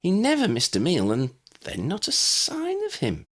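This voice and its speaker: A man's voice: sits high, wildly swooping pitch, normal speed, a bit bright, pitched above the performer's own voice, full character voice. A man voicing an anxious, faltering elderly neighbour woman in an audiobook, fretful and polite. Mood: worried. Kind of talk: audiobook character voice.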